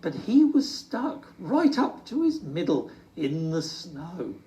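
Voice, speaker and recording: Slow, animated children's storyteller. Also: medium pitch. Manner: dramatic, drawn-out emphasis. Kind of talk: expressive children's story reading. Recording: roomy, distant microphone.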